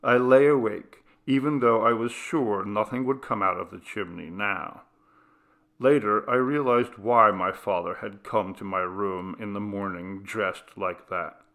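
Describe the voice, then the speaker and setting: A man reading a ghost story aloud, in a slow, measured and grave way. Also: lowish voice, a bit bright. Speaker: reader. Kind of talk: scripted ghost story narration.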